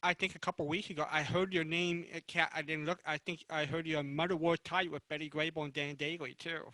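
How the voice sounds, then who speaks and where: A man moderating a casual live panel interview, asking halting, rambling questions. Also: fairly high pitch, level tone, sharp tone, no echo.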